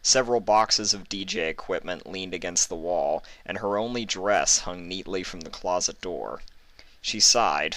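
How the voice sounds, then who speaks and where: A man narrates a story in a low-pitched voice with a bright, forceful edge. The delivery is scripted reading, and the sound is close and dry. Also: no echo.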